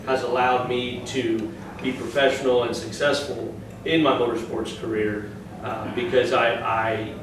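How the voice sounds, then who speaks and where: A man, a race driver, giving a steady, matter-of-fact talk to a club audience. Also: mid-range voice. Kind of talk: presentation to a club. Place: meeting room. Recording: echoey room, distant mic, noisy.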